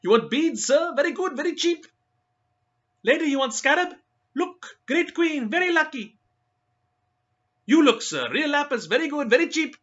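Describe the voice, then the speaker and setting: A man voicing a crowd of insistent male street hawkers who pitch their wares in broken English. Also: expressive, normal speed, long pauses, a bit bright, highs cut off. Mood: pushy hawking.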